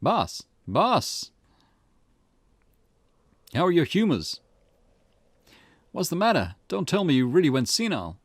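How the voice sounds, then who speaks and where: A man voicing an eager, cheeky and excitable young detective sidekick with a teasing manner in a visual novel game. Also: on the high side, takes it slowly, pauses often, slightly rough, dry recording, pitched above the performer's own voice, exaggerated voice. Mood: worried, teasing. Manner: teasing, animated.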